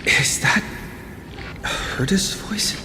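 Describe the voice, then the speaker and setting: Game lead: earnest, anxious young man thinking aloud, unhurried, noisy echoing space. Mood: surprised. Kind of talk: scripted game dialogue. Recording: reverberant hall, clear background noise.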